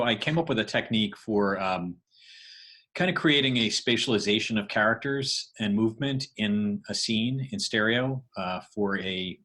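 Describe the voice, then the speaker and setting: A man giving an informal tutorial, talking haltingly and thinking aloud as he demonstrates his work. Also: lowish voice, bright, forceful tone. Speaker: podcast creator demonstrating sound design.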